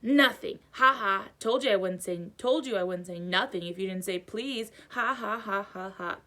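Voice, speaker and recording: A woman's voice: mid-range voice, moderate pace, sharp tone, natural voice. A woman voicing a cackling, mischievous male poltergeist who taunts in a sing-song way. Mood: sing-song gloating.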